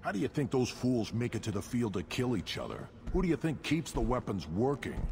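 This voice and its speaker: An actor playing a proud, grumbling reptilian alien war mechanic in a video game, boastful and sardonic. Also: male voice, mid-range voice, sing-song, fairly quick, a little mellow, slight background noise.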